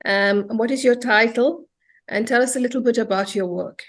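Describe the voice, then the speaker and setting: A woman's voice: a little high, normal speed. A woman asking questions conversationally in a research interview.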